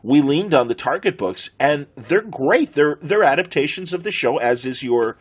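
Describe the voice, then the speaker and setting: A middle-aged man with an American accent and a husky voice, chatting conversationally as a podcast host. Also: on the high side, lively intonation, moderate pace. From United States.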